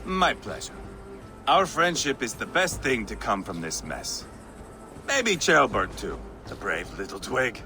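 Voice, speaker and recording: An actor playing a sly, mocking Viking raider in a game cutscene, drawling and sardonic. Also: male voice, medium pitch, sing-song, measured pace, bright, forceful tone, faint music behind, heavily acted. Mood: sly, teasing. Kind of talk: video game cutscene acting.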